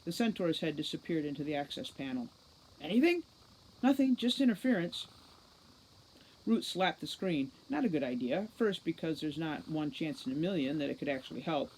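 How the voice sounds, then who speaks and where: A woman giving an expressive reading of a fantasy novel aloud, like a teacher reading to a class. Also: low voice, brisk, hardly any pauses, breathy edge, rough recording.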